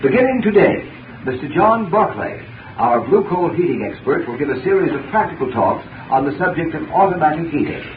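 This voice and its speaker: A man's voice: on the high side, very animated, a little fast. A man announcing formally in the style of 1930s radio. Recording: muffled, noisy 1930s radio recording.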